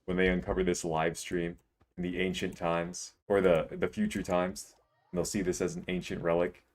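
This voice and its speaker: Deep-voiced young man streaming a game. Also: little pitch movement, moderate pace. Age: 20s. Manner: laid-back, joking, rambling. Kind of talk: casual live-stream chat while gaming.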